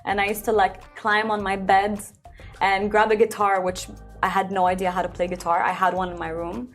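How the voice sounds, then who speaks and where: A woman's voice: mid-range voice, little pitch movement, moderate pace, faint music behind. A young woman who grew up in Belgium, a singer talking casually in an interview, answering in long runs with many fillers. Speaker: singer-songwriter guest.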